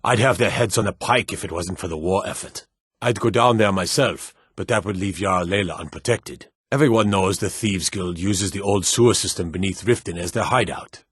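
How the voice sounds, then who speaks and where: A man voicing a stern, dutiful fantasy city guard in a video game, speaking in a gruff, formal, clipped way. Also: low-pitched, normal speed, no room sound, studio quality. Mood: angry, disdainful. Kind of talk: scripted game character dialogue.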